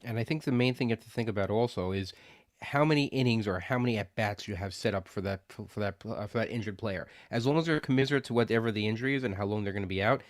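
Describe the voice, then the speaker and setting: A man's voice: on the low side, quick pace, dry recording, studio quality. A man co-hosting a sports podcast in casual chat, loose and hedging, often repeating words as he thinks. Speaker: podcast co-host.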